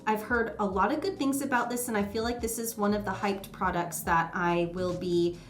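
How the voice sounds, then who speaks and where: A woman's voice: medium pitch, fairly even intonation, moderate pace, reverberant, slight background noise. A woman vlogger chatting casually about makeup, a bit nasal from a head cold and rambling with many ums. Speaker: beauty vlogger. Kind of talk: casual makeup vlog chat.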